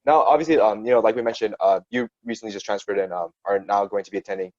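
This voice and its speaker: Very fast-talking young man, dull call audio. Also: fairly low pitch, a little mellow, dry recording. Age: 20s. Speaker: interviewer. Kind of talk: casual interview chat. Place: small room, indoors. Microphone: wired earphones on video call.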